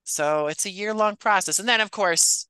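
A man's voice: sits high, moderate pace, bright, forceful tone, clean tone, dry recording. A man speaking as an interview guest, explaining his work in an articulate, conversational and self-deprecating way. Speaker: podcast writer-producer and actor, interview guest.

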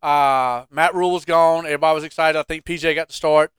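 A man's voice: on the high side, fairly even intonation, fast, a bit bright, clear, resonant tone, no echo, studio quality. A man co-hosting casual sports banter, teasing and challenging with questions. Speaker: podcast co-host.

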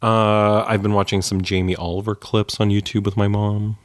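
A man co-hosting a podcast, chatting casually with quick, wry asides. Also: fairly low pitch, little pitch movement, dry recording, clean studio sound. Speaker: podcast co-host. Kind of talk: casual chat.

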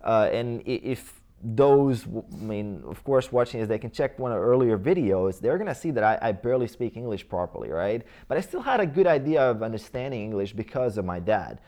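A man's voice: on the low side, sing-song, fairly quick, hardly any pauses, dark tone. A man in his thirties with a Croatian accent, a native Croatian speaker, talking casually about his past as an interview guest, rambling with many fillers and restarts. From Croatia/Bosnia region. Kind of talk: casual life-story interview.

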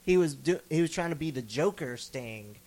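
A man's voice: a little high, moderate pace. A man co-hosting a casual wrestling podcast, telling stories with sarcastic mock quotes and plenty of swearing. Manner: profane, animated storytelling with mock quotes. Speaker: podcast co-host.

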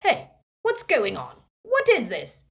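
A woman's voice: medium pitch, lively intonation, a little slow, voice pushed up, lightly acted. A woman voicing a nervous balding male wizard official who stammers and whines. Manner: stammering, whiny.

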